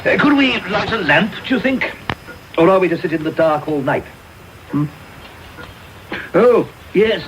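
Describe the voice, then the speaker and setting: A man playing a fussy, impatient older gentleman in a radio drama. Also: sits high, lively intonation, a little slow, pauses often. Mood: peevish. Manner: fussy, questioning. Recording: noisy off-air medium wave radio recording.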